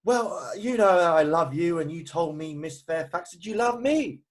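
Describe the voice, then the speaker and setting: Man playing a nervous lovestruck gentleman, lighter voice. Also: on the high side, animated, normal speed, close to an everyday voice. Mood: ardent, flustered. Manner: hesitant, stammering. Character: nervous, ardent young gentleman suitor. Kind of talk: amateur play read-through. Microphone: video call.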